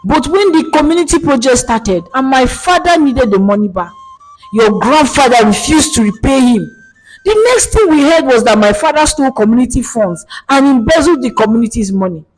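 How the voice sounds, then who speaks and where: A woman's voice: high-pitched, lively intonation, moderate pace, darkish tone, faint music behind, pitched above the performer's own voice, rough recording. Villain nanny, performed by a woman storyteller: bitter, emphatic, raised and swooping voice cracking with emotion, folktale. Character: bitter, vengeful young nanny, a menacing female villain.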